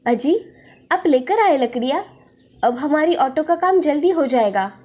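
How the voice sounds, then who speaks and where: A woman's voice: high-pitched, lively intonation, a little slow, darkish tone. A woman voicing a supportive, polite village wife in a storybook tale. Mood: pleased.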